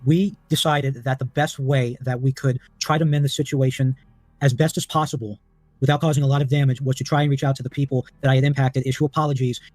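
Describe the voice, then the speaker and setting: A man answering pointed questions on a voice call, measured and formal as he explains himself at length. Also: mid-range voice, steady tone, darkish tone, no echo, rough recording.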